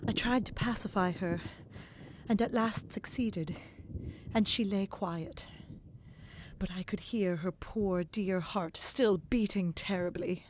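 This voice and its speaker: A woman narrating a gothic novel in the first person, expressive and dramatic, dropping to whispers in the hushed passages. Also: medium pitch, takes it slowly, a little hiss or hum.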